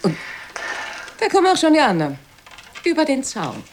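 An actress playing an uneasy, resentful wife in a radio play, speaking in an expressive, needling way. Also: female voice, high voice, moderate pace, a little hiss or hum. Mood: teasing. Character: uneasy, resentful wife watching television with her husband.

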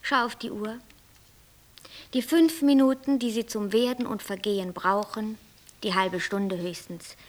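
An actress playing a coolly logical, bossy creator deity who lectures and commands in a poetic radio play. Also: female voice, mid-range voice, steady tone, a little slow, lightly acted. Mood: lecturing, dismissive. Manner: didactic, matter-of-fact, commanding.

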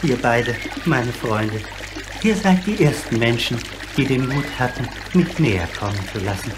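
A man acts out a kindly old giant for a radio play. He is courteous and earnest, explaining with theatrical, widely swinging intonation. Clear noise runs through the recording. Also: medium pitch, moderate pace, close to an everyday voice. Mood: gentle, grateful. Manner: polite, explanatory, theatrically expressive. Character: gentle, lonely old male apparent giant, courteous and helpful.

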